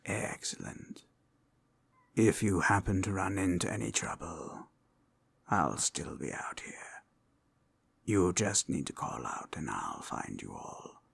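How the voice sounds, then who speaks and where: Man playing a soft, breathy, cheeky forest stranger. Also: deep voice, animated, slow, long pauses, full character voice. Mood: friendly, conspiratorial. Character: cheeky, polite forest traveler gathering materials, offering help. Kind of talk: ASMR roleplay.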